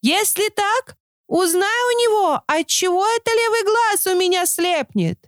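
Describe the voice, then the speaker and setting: A little female river fish from a storybook , acted out by a woman, calling in a very high, pleading voice. The delivery is lively and dry, with sweeping intonation. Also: unhurried, no echo.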